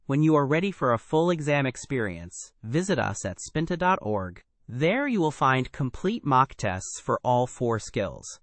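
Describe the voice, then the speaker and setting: A man's voice: mid-range voice, big pitch swings, unhurried, few pauses, fairly bright tone, no room sound. A man working as an announcer, reading a scripted promotional outro.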